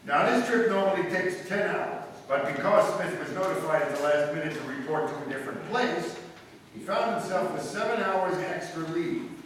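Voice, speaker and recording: A man playing a clever male amateur detective in a live old-time radio drama, crisp and lecturing, building to emphatic points. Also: high-pitched, animated, moderate pace, fairly bright tone. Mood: explaining. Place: live stage performance in a hall. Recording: echoey hall from far off, faint hiss.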